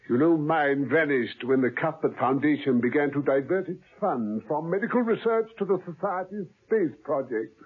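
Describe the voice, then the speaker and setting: An actor playing a gloating middle-aged male scientist villain in a 1970s radio drama, husky and mocking. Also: a little high, animated, moderate pace. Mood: gloating menace. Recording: tinny old radio broadcast.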